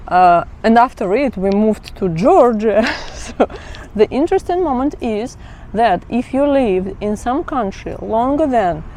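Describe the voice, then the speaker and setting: A woman's voice: a little high, darkish tone, a little hiss or hum. A woman from Kazakhstan, chatting casually as a vlogger, often laughing and correcting herself. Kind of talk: casual explainer chat.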